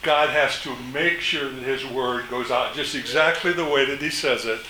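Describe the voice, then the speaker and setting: Older male preacher, loud and bright-toned, slow measured sermon delivery, faint noise behind. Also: mid-range voice. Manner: slow, emphatic delivery, rises when quoting scripture. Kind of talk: sermon with scripture reading.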